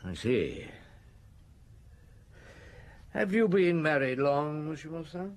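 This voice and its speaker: An actor playing a polite, persistent French police chief inspector in an audio drama, questioning in a measured way. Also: male voice, a little high, big pitch swings, full character voice. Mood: polite, probing.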